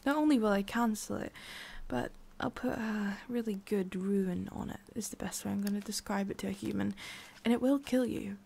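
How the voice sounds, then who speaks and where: A woman playing a lonely, guarded immortal witch in an intimate ASMR roleplay, speaking softly and often dropping to a whisper. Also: medium pitch, moderate pace, creaky, few pauses, slightly rough. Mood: stern warning.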